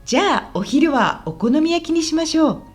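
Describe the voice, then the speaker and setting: Woman playing high-voiced, lively, helpful tour guide. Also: moderate pace, faint music behind. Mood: explaining. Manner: polite, explanatory, clearly enunciated. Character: friendly, helpful woman guiding a visitor around Osaka. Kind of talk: scripted language-lesson radio drama.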